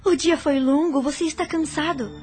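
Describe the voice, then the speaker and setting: A woman's voice: high voice, steady tone, normal speed, music in the background, lightly acted. A woman playing an anxious, caring companion in a radio soap opera. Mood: worried.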